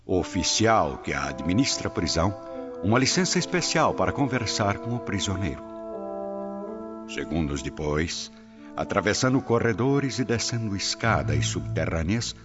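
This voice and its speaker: A man giving dramatic scripted narration for a radio drama. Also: sits low. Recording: dull lo-fi audio, clear music behind.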